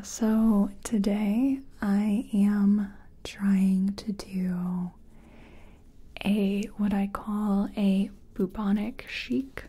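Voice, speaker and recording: Breathy, half-whispering woman in ASMR roleplay. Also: medium pitch, mellow. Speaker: ASMR roleplayer playing a makeup competition contestant. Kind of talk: soft-spoken ASMR roleplay.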